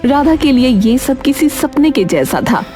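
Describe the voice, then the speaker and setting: A woman's voice: high voice, big pitch swings, measured pace, warm tone, faint music behind. A woman narrating a scripted children's story in a dramatic storytelling style.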